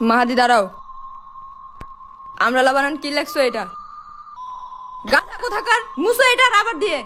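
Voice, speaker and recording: A woman's voice: high voice, sing-song, normal speed. A woman voicing a strict, snappish cartoon kindergarten teacher. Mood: scolding. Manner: stern, snappy. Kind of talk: comic cartoon dialogue. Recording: music behind the voice.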